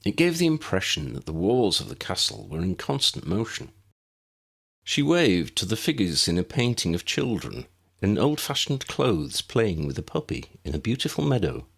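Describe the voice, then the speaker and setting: A man's voice: low-pitched, big pitch swings, normal speed, vocal fry, husky. A man narrating an audiobook story in a scripted storytelling style.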